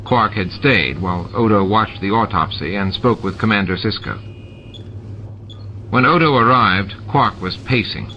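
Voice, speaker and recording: A man reading a science fiction novel aloud in a steady, measured way. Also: on the low side, slight background noise. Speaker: amateur audiobook reader. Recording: dull lo-fi recording with music.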